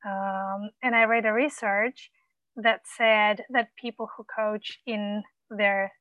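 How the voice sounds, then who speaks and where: A woman coach mentor, whose first language is not English, giving supportive, measured feedback with frequent pauses and ums. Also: fairly high pitch, fairly bright tone, no room sound, lo-fi sound.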